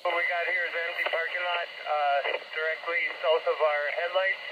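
A man's voice: a little high, flat delivery, normal speed. A man working as an emergency responder, speaking in terse radio traffic over a two-way radio. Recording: dull, noisy scanner radio recording.

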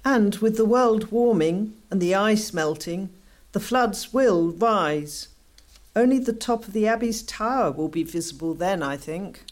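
Woman acting an earnest, mid-pitched campaigner. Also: expressive, unhurried, a little mellow, close to an everyday voice. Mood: grave. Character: earnest townswoman urging care for water. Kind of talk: scripted community radio play.

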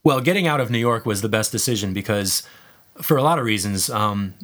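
A man speaking as an interview guest, relaxed and rambling with frequent fillers. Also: on the low side, brisk. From United States. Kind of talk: conversational interview.

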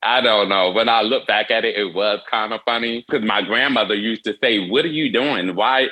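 Earnest man, bright forceful voice. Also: lowish voice, low-quality recording. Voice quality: wears hearing aids. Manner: earnest, halting with frequent restarts. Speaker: interview guest. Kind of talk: candid personal storytelling.

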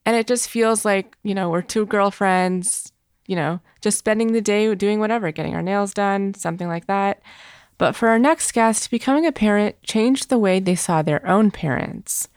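A woman's voice: medium pitch, normal speed, darkish tone, clean tone, no echo, studio quality. A young adult woman co-hosting a podcast in casual, conversational chat. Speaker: podcast co-host.

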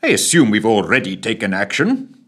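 A man's voice: fairly low pitch, huge pitch swings, a little fast, husky, full character voice. A man playing a dry, unflappable prime minister.

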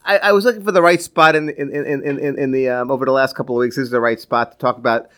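A man co-hosting a casual podcast chat, talking in quick restarts and laughing often. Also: medium pitch, steady tone, fairly bright tone, clean tone. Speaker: podcast co-host.

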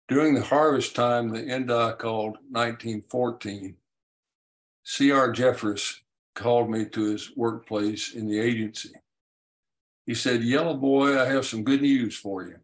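Male author narrating his own historical tale in first person, lower-pitched voice. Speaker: author reading his story aloud.

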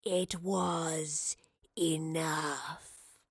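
Ancient hissing wraith, dreadfully slow. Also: female voice, low-pitched, steady tone, no echo, clean studio sound, pitched below the performer's own voice, full character voice. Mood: ominous. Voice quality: hissing, breathy, whispery. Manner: very slow, drawn-out, ominous. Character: menacing ancient wraith creature wearing a stolen human body.

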